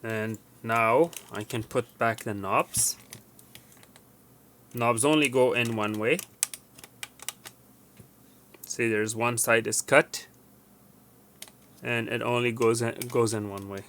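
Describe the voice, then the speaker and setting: Man, medium pitch, casual tutorial presenter, step-by-step, halting, self-correcting, noticeable background noise and clicks. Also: steady tone, fairly bright tone. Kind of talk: casual hands-on repair demonstration.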